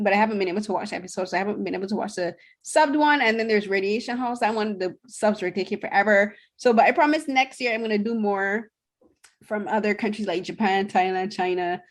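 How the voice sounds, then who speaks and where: A young woman from Barbados co-hosting a podcast, chatting casually about shows she watched, with lots of fillers and restarts. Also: on the high side. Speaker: podcast co-host.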